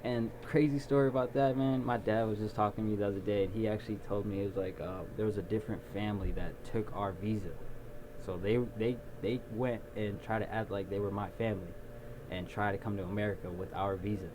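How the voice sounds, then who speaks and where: A young man being interviewed about his video production company, talking in a relaxed, rambling way with lots of ums. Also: fairly low pitch, fast. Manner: relaxed storyteller, many ums and restarts. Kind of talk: casual interview. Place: small recording studio. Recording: noisy background.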